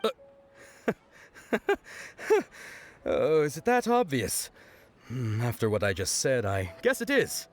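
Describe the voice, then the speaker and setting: A man playing an earnest, bashful young festival worker in a video game, with a hesitant, expressive delivery. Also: medium pitch, takes it slowly, long pauses. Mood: bashful, devoted. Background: music.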